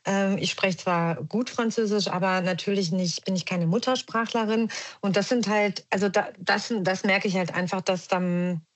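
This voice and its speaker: A woman's voice: medium pitch, steady tone, moderate pace. A woman with a German accent, a podcast guest speaking reflectively with many restarts and fillers. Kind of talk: reflective conversational podcast talk.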